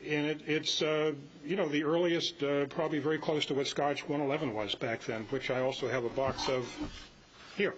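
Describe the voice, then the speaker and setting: A man in his seventies giving an informal, halting, rambling talk to a club meeting. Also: on the high side, steady tone. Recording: muffled live meeting audio with hiss.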